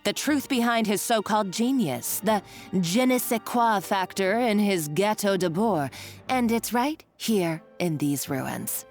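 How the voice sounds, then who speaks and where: A woman's voice: medium pitch, animated, a little slow. An actress playing a gloating, scheming female villain in a video game, theatrical and sardonic, taunting as she talks. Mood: menacing. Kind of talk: dramatic video game voice acting. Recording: game dialogue over background music.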